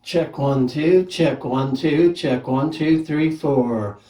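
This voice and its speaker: A man's voice: fairly high pitch, slow, dark tone, roomy sound, recorded from a distance. A man casually reviewing a microphone, talking plainly and counting off mic tests. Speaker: gear reviewer. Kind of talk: casual product demo with mic checks.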